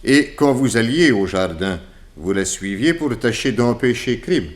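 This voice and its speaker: An actor playing a suspicious, self-assured detective inspector in a 1960s French radio drama, putting clipped questions. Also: male voice, medium pitch, expressive, measured pace, lightly acted. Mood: accusing.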